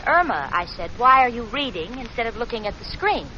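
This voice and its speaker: A long-suffering young roommate telling her tale in a vintage radio sitcom. Her voice is bright, animated and confiding, on a dull old recording. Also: fairly high pitch, brisk. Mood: wry, fond. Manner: wry, confiding, crisp. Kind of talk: 1940s radio comedy narration. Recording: dull, faintly noisy 1940s radio recording.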